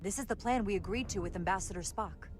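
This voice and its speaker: A woman's voice: mid-range voice, fast, faint music behind, close to an everyday voice. A woman playing a tactful starship first officer in a video game, speaking in an earnest, diplomatic way. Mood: earnest, persuasive.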